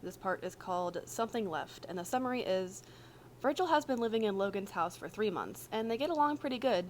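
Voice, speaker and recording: A woman reading fan fiction aloud as a podfic narrator, in an expressive, casual way. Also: medium pitch, fairly quick, slight background noise. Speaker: podfic reader.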